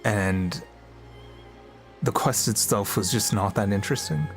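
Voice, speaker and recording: A man's voice: fairly low pitch, a little slow, soft background music. A man livestreaming a game with casual, chatty commentary.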